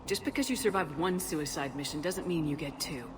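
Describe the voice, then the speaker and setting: A woman's voice: low-pitched, a little fast, noisy background, lightly acted. A woman playing a resolute, battle-hardened military commander in a video game, direct and controlled in her delivery. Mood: firm. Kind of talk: dramatic video game acting.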